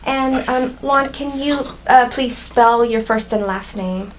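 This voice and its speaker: Soft-prompting female interviewer. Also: on the high side, slow, a little hiss or hum, rough recording. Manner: gentle prompting with short acknowledgements. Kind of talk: oral history interview.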